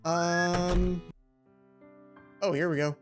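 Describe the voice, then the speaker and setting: Let's play narrator, mid-pitched, theatrical reading with casual asides and small stumbles, game music behind. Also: little pitch movement, very slow. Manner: dramatic read-aloud mixed with offhand asides, stumbles over lines. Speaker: gaming video host. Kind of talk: let's play, reading visual novel aloud with casual commentary.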